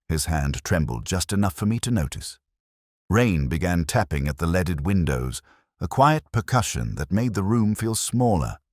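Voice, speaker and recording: A man reading a scripted first-person detective story as its narrator, in a measured, reflective, literary manner. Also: low voice, a little mellow, no room sound, clean studio sound.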